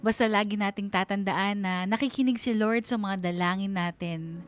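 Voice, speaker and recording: Radio story narrator, played by an actress: young Filipino woman, gushing and conversational, medium pitch, music bed. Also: normal speed, lightly acted. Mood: happy, grateful. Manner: chatty, gushing. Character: giddy, lovestruck young woman telling her story. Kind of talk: dramatized letter narration.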